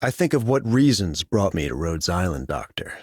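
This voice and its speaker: An actor playing a menacing, predatory swordsman in a game, speaking in a cold, deliberate, threatening way. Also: low voice, big pitch swings, breathy edge, full character voice. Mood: cold menace. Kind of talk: scripted game character monologue.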